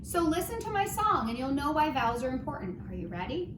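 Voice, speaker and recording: A woman teaching a children's phonics lesson in a gentle, encouraging, singsong way, at home. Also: high-pitched, big pitch swings, a little fast. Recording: roomy, distant, noisy home recording.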